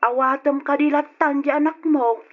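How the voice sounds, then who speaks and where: A woman's voice: high voice, fairly even intonation, moderate pace, dull audio, close to an everyday voice. A woman playing a kindly elderly grandmother who gives soothing, emphatic advice in an old radio drama, with a Filipino accent. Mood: reassuring.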